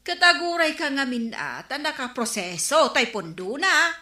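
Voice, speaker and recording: A woman's voice: sits high, sing-song, moderate pace, pushed and bright, heavily acted. An actress with an Ilocano accent playing an anxious, nagging village woman in a radio comedy-drama. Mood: indignant, worried. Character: anxious, nagging woman worried about the captain's temper. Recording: roomy echo, at times distant.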